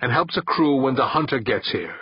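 A man's voice: mid-range voice, expressive, brisk, breathy edge, no room sound, lo-fi sound, pitched above the performer's own voice. A man voicing a domineering space-pirate skipper in an audiobook, with a scornful, commanding grumble. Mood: insistent, sarcastic.

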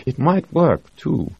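A smug English ship's doctor delivers his lines with a leer, pitched well above the reader's deep voice and pushed louder. A man reads him, his tone husky and close to the mic. It is a sly, mocking performance. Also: no echo, dull audio, full character voice. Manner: smug, teasing, with a crooked grin.

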